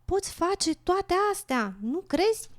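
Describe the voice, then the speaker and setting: A woman's voice: very high voice, big pitch swings. A woman playing a wise, earnest frog with a persuasive manner, in an educational radio drama. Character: wise, earnest older frog pleading for nature.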